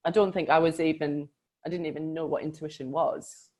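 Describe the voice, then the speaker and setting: A woman's voice: low-pitched, fairly even intonation, fairly quick, a little mellow. A woman coach in a casual conversation about a book, speaking reflectively and with emphasis, often laughing.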